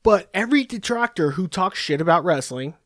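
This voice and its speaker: A man's voice: high voice, animated, a little fast, a bit bright, no room sound, clean studio sound. A man hosting a podcast, ranting in a profane, rambling, sarcastic monologue. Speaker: podcast host.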